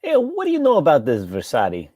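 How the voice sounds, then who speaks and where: A woman's voice: deep voice, wildly swooping pitch, fast, mellow, slightly rough, no room sound, clean studio sound, full character voice. A woman reading the part of a jealous, lovesick, talkative male barber in a stage comedy table read. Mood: blathering, evasive.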